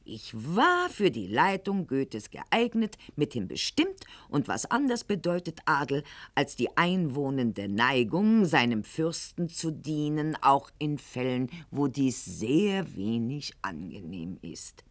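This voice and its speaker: An actress playing a proud, aging aristocratic wife at court in a radio play, speaking formally and precisely, with haughty theatrical declamation. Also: female voice, extremely low pitch, sing-song, takes it slowly, exaggerated voice. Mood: proud, self-justifying.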